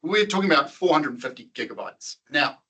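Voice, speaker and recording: A man's voice: fairly high pitch, expressive, fairly quick, pushed and bright. A man presenting at a tech meetup, chatting casually with the audience, quizzing them and laughing often.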